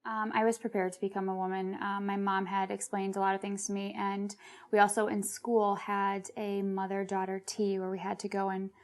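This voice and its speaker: Young woman w/ lots of fry, pretty flat tone, just telling her story straight. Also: medium pitch, normal speed. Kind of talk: personal interview recollection.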